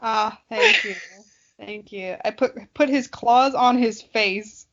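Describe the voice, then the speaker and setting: A woman's voice: fairly high pitch, slow pace, fairly bright tone, muffled recording. A sarcastic, self-deprecating, giggly woman co-hosting a podcast with casual comic banter. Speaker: podcast co-host.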